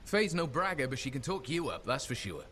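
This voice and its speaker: A man's voice: mid-range voice, big pitch swings, a little fast, fairly bright tone, slight background noise. A cheerful mercenary pal from a video game cutscene. He has a mid-range voice and an upbeat, yarn-spinning way of talking. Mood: friendly. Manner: upbeat, storytelling. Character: friendly, chatty male mercenary buddy.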